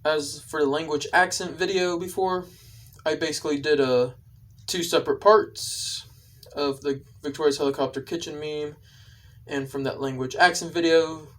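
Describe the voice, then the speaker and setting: A man casually explaining his video to viewers, with halting, repetitive phrasing. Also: a little high, fairly even intonation, recorded from a distance. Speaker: video creator.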